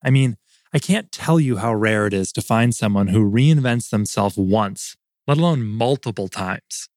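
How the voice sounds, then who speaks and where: A young adult man hosting a podcast, reading a scripted introduction and asking interview questions in an earnest, steady way. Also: fairly low pitch, unhurried, no room sound, studio quality. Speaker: podcast host.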